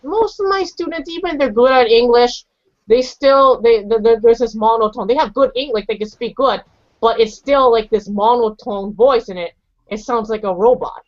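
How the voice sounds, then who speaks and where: A man's voice: extremely high pitch, normal speed, dry recording. Young male English tutor, chatty and self-mocking, on a handheld mic; lo-fi video-call sound. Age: young adult. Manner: chatty, lots of restarts and "you know", jokey. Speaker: English teacher. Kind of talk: casual online group lesson. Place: small home room with bookshelves. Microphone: handheld mic held close on a video call.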